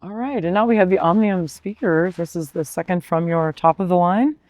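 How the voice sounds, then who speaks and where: A man conducting a casual product interview, with hesitant, halting phrasing. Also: high voice, a little mellow, no room sound.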